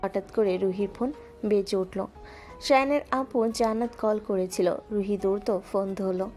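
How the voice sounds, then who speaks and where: A woman narrating a romantic audio drama in a calm, steady storytelling voice. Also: mid-range voice, moderate pace, faint music behind.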